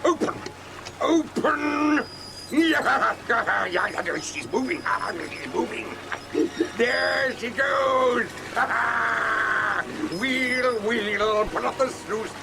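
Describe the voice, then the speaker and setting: An actor playing a wicked, scheming villain in an audio drama, gloating and chanting theatrically in a creaky voice. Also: male voice, very high voice, drawn-out words, noisy background, exaggerated voice. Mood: gleeful, gloating. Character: gleeful wicked villain, cackling, scheming and vengeful. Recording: loud music behind.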